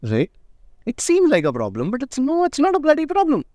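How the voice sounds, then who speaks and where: A man's voice: very high-pitched, wildly swooping pitch, fairly quick, darkish tone, no echo, studio quality. A man, a startup founder, answering interview questions in a casual, emphatic way and often asking "right?". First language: not Indonesian. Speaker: startup founder and CEO, guest.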